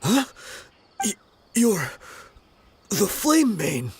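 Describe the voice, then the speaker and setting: A man's voice: high voice, huge pitch swings, drawn-out words, darkish tone, slight background noise, exaggerated voice. A man playing a stubborn, proud, defiant bandit mercenary in a video game. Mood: startled.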